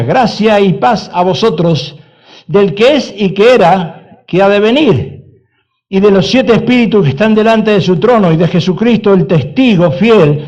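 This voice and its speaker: A male pastor with a notably high voice delivers an insistent sermon. He hammers his points with repetition and asks questions of his listeners. The recording sounds dull and lo-fi. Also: darkish tone. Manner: emphatic preaching with repetition and rhetorical questions.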